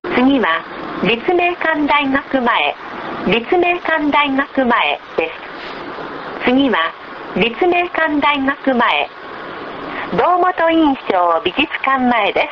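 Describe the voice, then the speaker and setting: A woman reading scripted bus stop announcements in a formal, measured, polite manner on a low-quality recording. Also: big pitch swings, muffled recording. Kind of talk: scripted public transit announcement. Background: loud vehicle noise.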